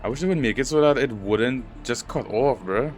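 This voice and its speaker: A man's voice: medium pitch, a little fast, soft background music. A man giving casual let's play commentary, reacting with slangy, muttered asides. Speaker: gamer reacting to a story game.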